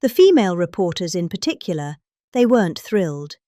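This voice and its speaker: A middle-aged British woman giving a calm, expressive dramatic reading of a rambling speech. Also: fairly low pitch, dry recording, clean studio sound. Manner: expressive, calmly measured delivery.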